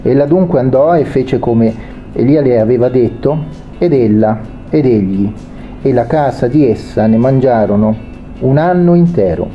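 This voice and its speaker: A man preaching a sermon, reading a Bible passage aloud and then explaining it with pauses and restarts. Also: mid-range voice, animated, highs cut off, slight background noise. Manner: reads scripture then explains it, hesitant pauses.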